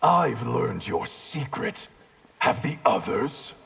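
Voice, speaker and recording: Very gravelly, menacing ninja villain. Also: male voice, medium pitch, very animated, heavily acted. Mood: cold menace. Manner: slow, threatening, disdainful. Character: cold, menacing outlaw ninja clan leader. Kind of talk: video game fight banter. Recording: long reverb, clearly noisy background.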